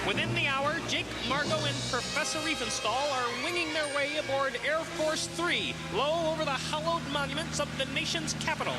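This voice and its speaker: Man as a high-pitched, grand radio-serial announcer. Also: normal speed, hardly any pauses, echoey room, over loud music. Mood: dramatic. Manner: grand, dramatic, declamatory. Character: bombastic adventure-serial announcer, adult man. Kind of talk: mock old-time radio serial announcing.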